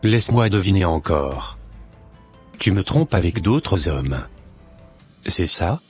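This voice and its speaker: A man playing a suspicious, impatient husband in an animated drama, speaking in a deliberate, formal way with a husky voice. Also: deep voice, soft background music, exaggerated voice. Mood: accusing, indignant. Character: suspicious, impatient husband waiting for his unfaithful wife.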